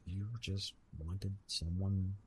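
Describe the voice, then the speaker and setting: Slow, low-voiced retired love god, played by a man. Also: little pitch movement, darkish tone, slight background noise. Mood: surprised, tender. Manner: hesitant, halting, teasing, with repeated words. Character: retired god of love Eros, gently amused, bashful, ancient. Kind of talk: ASMR roleplay, intimate monologue to listener.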